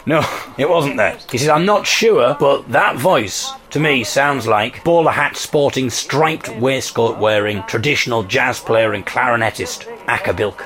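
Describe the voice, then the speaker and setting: A man with a slightly husky voice hosting a podcast, telling a story conversationally with enthusiastic, emphatic delivery and dramatic repetition. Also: mid-range voice, unhurried, fairly bright tone. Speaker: podcast host.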